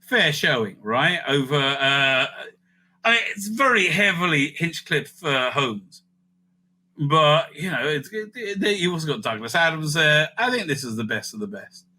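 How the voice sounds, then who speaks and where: A man's voice: a little high, big pitch swings, a little slow, sharp tone. A man hosting a casual, off-the-cuff ranking video, rambling and interrupting himself as he thinks aloud. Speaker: video host ranking TV stories.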